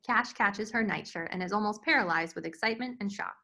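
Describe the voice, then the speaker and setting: A woman's voice: mid-range voice, fast, vocal fry, bright, forceful tone. A woman reading screenplay stage directions as scripted narration in a table read.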